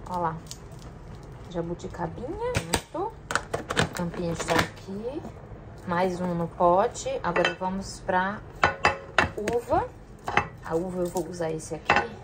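A woman's voice: medium pitch, very noisy. A young woman chatting casually to her viewers while sorting food in her home kitchen, filmed by a camera on the counter. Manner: chatty, addresses viewers often. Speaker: vlogger. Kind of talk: casual kitchen vlog chat.

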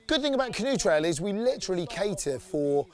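A man's voice: high-pitched, big pitch swings, brisk, a little mellow. A man in his forties, an outdoor activity company director, talking enthusiastically in a promotional interview outdoors in a sunny woodland, on a lapel mic. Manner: enthusiastic, upbeat.

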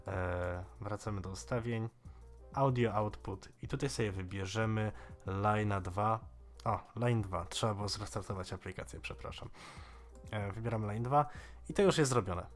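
A man in his twenties giving a casual, informal software tutorial in a slightly halting way. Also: fairly low pitch. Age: 20s. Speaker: tech tutorial host.

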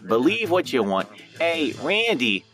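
An actor playing a wry, world-weary police sergeant in an audio drama. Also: male voice, fairly high pitch, sing-song, unhurried, fairly bright tone. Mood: dry, wry. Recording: slightly distant, music behind.

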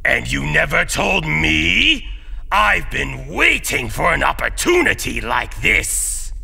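A man playing a villainous, bombastic mad scientist with a gravelly voice in a cartoon comic dub. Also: a little high, big pitch swings, takes it slowly, full character voice. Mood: gloating menace. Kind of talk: animated comic dub acting. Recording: loud music under the voice.

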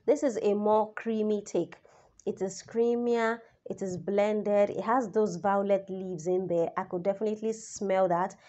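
A young woman reviewing a perfume in a casual vlog, slightly raspy as she recovers from the flu, talking chattily and straight to her viewers. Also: medium pitch, unhurried. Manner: chatty and expressive, addresses viewers directly. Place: indoors, small room, seated. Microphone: camera in front, head-and-shoulders framing.

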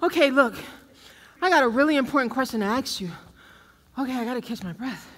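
Clingy young girlfriend, played by a woman, stage comedy: high, piercing, melodramatic, breathless, noisy theatre recording. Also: animated, a little fast, a bit bright. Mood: anxious, pleading. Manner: gushing, melodramatic, breathless, repeating herself. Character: giddy, lovestruck, clingy young woman after first night together. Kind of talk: comedic stage play acting.

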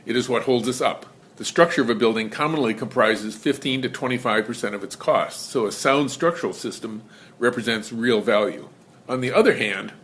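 A man presenting an educational lesson in measured, scripted narration. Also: lowish voice, fairly bright tone, slight background noise. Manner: measured, explanatory.

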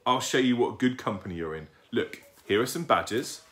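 A man voicing a kind young lady in a children's storybook, speaking gently and politely. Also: mid-range voice, expressive, normal speed, lightly acted. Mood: friendly, explaining.

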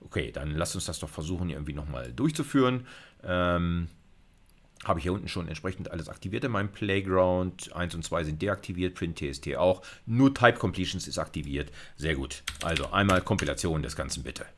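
A man's voice: sits low, lively intonation, brisk. A middle-aged man giving an informal university lecture, thinking aloud in a colloquial way as he demonstrates. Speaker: university lecturer in computer science. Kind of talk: informal lecture narrating a live coding demo.

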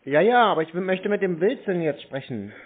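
Higher-pitched man, casual, on a phone line. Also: expressive, brisk. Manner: unflappable, dogged, feigned innocence. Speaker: prank caller to scam call centres. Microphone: telephone. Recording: narrow-band phone line.

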